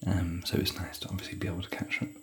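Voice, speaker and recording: A man whispering softly in a rambling, chatty, gentle way while hosting an ASMR hotel room tour. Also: low voice, monotone, racing, husky. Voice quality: soft whisper.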